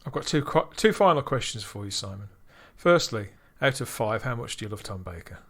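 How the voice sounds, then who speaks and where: A man's voice: lowish voice, expressive, fairly quick. A British man hosting a fan podcast, asking questions in a friendly, wry, casual way. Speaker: podcast host.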